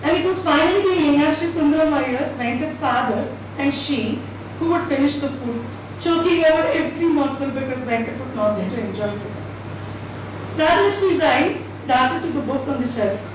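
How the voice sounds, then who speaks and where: Distant, very echoey, noisy recording: female story reader, high voice, expressive dramatic narration. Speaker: reader at a dramatised book reading. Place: indoor event hall at a live reading.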